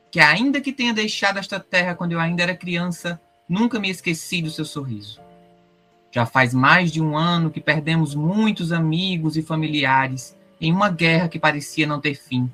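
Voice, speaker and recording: Man acting a bright-voiced, solemn young king. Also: a little high. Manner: declamatory, solemn. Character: young male king, solemn, mourning the fallen. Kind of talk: radio drama speech.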